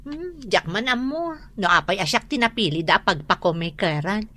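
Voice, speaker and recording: A woman's voice: mid-range voice, animated, moderate pace, sharp tone. An actress playing a trusting young woman who laughs easily in a radio drama.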